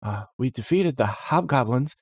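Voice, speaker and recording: A man's voice: medium pitch, lively intonation, moderate pace, breathy edge, dry recording, clean studio sound, pitched above the performer's own voice. A man voicing a nervous, earnest young male adventurer with a hesitant manner in a fantasy story. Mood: nervous, flustered. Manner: stammering, hesitant.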